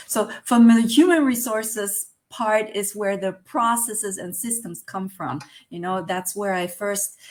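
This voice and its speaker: A Spanish woman in her forties, speaking English with a Spanish accent as an interview guest, hesitant and searching for words with many fillers. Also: mid-range voice. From Spain. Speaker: guest, online business manager. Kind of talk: casual interview chat.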